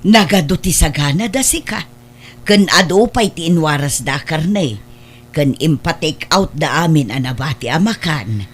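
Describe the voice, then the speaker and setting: A woman's voice: low-pitched, lively intonation, a little slow, slightly rough, exaggerated voice. A woman playing a frank older aunt who counsels and admonishes her niece in a family radio drama. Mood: admonishing. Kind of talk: Ilocano radio-style family drama acting.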